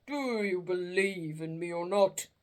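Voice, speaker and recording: A woman voicing a doomed, chained male ghost, speaking slowly and solemnly in a storybook reading. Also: lightly acted. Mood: mournful. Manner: slow, booming and drawn out.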